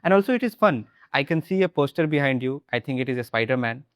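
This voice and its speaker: A man with a Bihari Indian English accent speaks in an easygoing, encouraging way on a video call. His voice sits at an ordinary mid pitch, and the sound is close and dry with no room echo. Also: quick pace. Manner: relaxed, encouraging, conversational. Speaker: English trainer and host. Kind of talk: casual video-call conversation.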